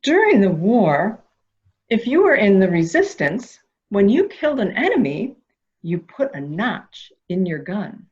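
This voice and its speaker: An actress plays a calculating woman in an amateur mystery drama, coaxing and deliberate. Her pace is slow and her pitch swings widely. The recording is slightly dull and lo-fi. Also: big pitch swings. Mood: nervous, soothing. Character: calculating woman coaxing a dangerous childlike man. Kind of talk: amateur radio-play acting.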